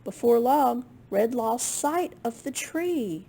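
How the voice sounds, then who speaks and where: A woman with a slightly husky, gravelly voice reading a picture book aloud to young children in an expressive way. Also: on the high side, slow. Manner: expressive, with gasps and questions to young listeners. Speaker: children's librarian storyteller.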